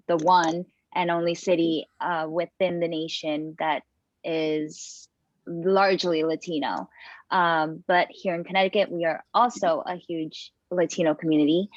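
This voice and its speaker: A woman's voice: fairly low pitch, no echo, muffled recording. A young woman, a TV news producer and reporter, introducing herself on a panel with many fillers and restarts. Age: young adult. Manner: unhurried, with frequent fillers and restarts.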